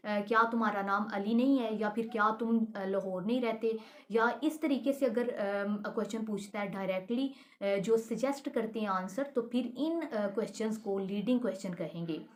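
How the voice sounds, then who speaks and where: A woman with a Pakistani accent and a fairly high voice teaches in an explanatory lecture style. She works through points step by step, pausing to search for words and restating them as she goes. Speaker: law lecturer.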